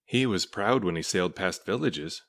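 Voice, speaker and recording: A man with a low voice narrates a children's storybook. He reads aloud in measured, deliberate phrases. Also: animated, fairly bright tone. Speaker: storybook reader.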